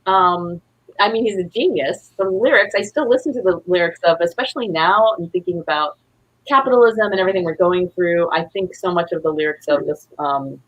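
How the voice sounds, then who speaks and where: A woman with a husky voice chatting casually as an interview guest, effusive and rambling. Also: on the low side, fairly quick, low-quality recording. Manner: effusive, rambling, acts out quotes. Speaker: guest, playwright.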